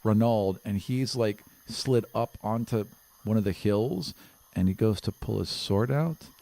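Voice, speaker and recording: A man's voice: fairly low pitch, measured pace, vocal fry, dark tone, dry recording. A man speaking casually as a game master, improvising narration for a tabletop roleplaying game. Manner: casual, conversational.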